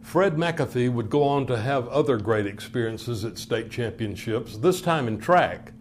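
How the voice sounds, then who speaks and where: A man reading scripted documentary narration in a measured, formal way. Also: medium pitch, expressive, slight background noise.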